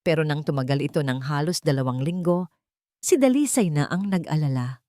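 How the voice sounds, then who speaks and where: A woman with a Filipino accent narrating a romance drama in an emotive, expressive storytelling manner. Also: low-pitched, a little fast, dry recording, clean studio sound.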